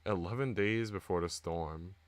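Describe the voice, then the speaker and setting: A man's voice: low voice, normal speed. A man streaming, reading a visual novel aloud and chatting casually. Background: music.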